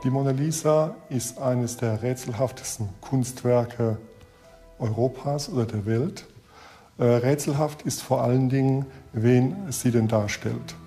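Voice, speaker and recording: A man with a mellow, mid-pitched voice gives an expert's explanation in a documentary interview. Faint music sits behind his words. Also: measured pace.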